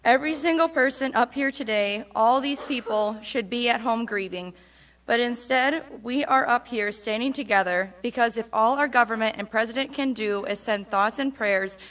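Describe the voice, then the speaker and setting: A woman's voice: on the high side, moderate pace, fairly bright tone. Woman reading a speech aloud in church. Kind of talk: public reading.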